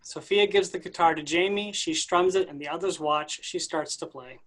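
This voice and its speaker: A woman's voice: on the low side, moderate pace. A woman giving scripted narration, reading out the stage directions of a play.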